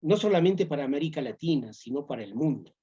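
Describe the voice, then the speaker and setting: Halting man, muffled recording. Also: on the high side, expressive, dark tone, husky. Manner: hesitant, halting, many fillers. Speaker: interview guest, educator. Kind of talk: interview answers.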